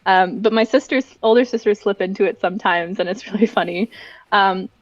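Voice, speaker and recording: A woman's voice: mid-range voice, brisk, no room sound, muffled recording. A young adult woman chatting casually and giggly as a livestream guest, rambling through personal stories. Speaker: livestream guest, event director and dancer.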